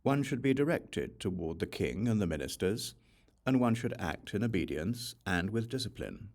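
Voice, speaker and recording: Male audiobook reader for scripture: low register, highly varied intonation, solemn measured phrasing. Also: sing-song, a little mellow. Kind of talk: scripted reading of an ancient Buddhist text.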